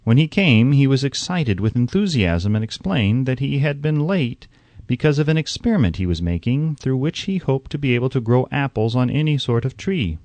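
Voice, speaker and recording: A man reading an audiobook in a measured, deliberate storytelling manner. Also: medium pitch, animated, a little mellow, no room sound. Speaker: audiobook narrator. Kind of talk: scripted audiobook narration.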